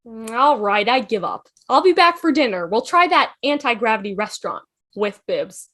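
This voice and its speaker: A woman's voice: a little high, very animated, moderate pace, fairly bright tone. A woman playing a breezy, eager, cheerful traveller in a fan table read. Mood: breezy, teasing. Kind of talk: fan table read over video call.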